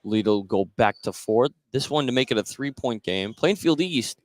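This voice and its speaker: A man calling live play-by-play of a high school basketball game for radio. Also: lively intonation, moderate pace. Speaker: play-by-play commentator. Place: courtside at an indoor basketball game.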